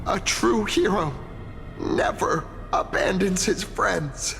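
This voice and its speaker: High, creaky, anguished young hero. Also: male voice, slow, fairly bright tone, heavily acted. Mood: pleading. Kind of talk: dramatic video game voice acting. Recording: long reverb under music.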